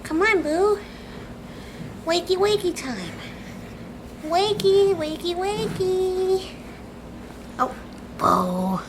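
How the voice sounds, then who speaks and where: A woman talking baby talk to her pet dog, cooing and singsong with drawn-out words. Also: very high-pitched, sing-song, background noise.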